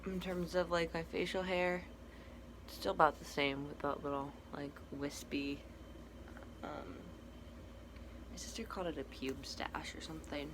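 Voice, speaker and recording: A young man with a cracky, breaking voice, giving a casual personal update in a halting, soft-spoken way. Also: on the high side, steady tone. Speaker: vlogger. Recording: noticeable background noise.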